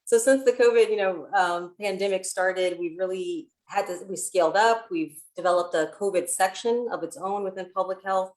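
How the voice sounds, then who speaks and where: A woman's voice: mid-range voice, normal speed, hardly any pauses, darkish tone. A woman from Ohio with an American accent, a public health doctor speaking informally as a panelist. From Ohio, United States. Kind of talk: informal self-introduction on a panel.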